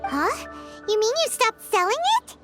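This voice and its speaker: A woman voicing a tiny, excitable female fairy companion in a video game, squeaky, blunt and nosy. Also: music in the background. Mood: reacting. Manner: squeaky, quick to react, nosy. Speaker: game character.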